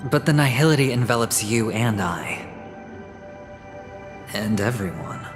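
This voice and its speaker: An actor playing a sly, fatalistic young gambler in a video game cutscene, speaking theatrically with deliberate, drawn-out phrasing. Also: male voice, on the low side, expressive. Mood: searching, melancholy. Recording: dramatic music behind the voice.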